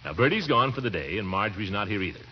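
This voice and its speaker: An actor playing a fussy, affectionate middle-aged uncle in a theatrical, blustery 1940s radio comedy style. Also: male voice, on the low side, very animated, racing. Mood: doting, reassuring. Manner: blustery, theatrical, with swooping exclamations and chuckles. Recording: muffled, noisy vintage radio recording.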